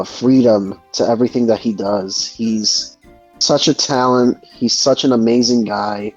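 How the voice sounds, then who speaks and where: A man chatting casually as a discussion guest, thinking aloud in a halting way. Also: lowish voice, a little mellow, lo-fi sound. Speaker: guest in a gaming discussion, aspiring voice actor. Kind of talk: casual chat.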